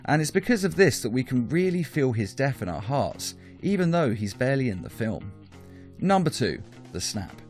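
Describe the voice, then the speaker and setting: Lively male countdown narrator over music. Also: medium pitch, moderate pace. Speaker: host of a top-five countdown video. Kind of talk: scripted narration, video essay commentary. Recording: music behind the voice.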